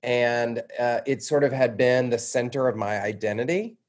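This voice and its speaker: American male, medium pitch, reflective interviewee sharing personal stories with self-deprecating candor. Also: moderate pace, darkish tone. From suburban Atlanta, Georgia, United States. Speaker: interview guest, writer. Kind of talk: conversational personal storytelling.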